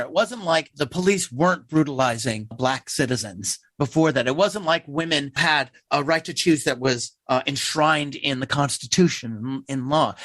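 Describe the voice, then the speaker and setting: Animated higher-pitched man, wry and emphatic. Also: no room sound. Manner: emphatic, wry, acts out imagined quotes. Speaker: theater company playwright being interviewed. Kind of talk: animated conversational interview answers.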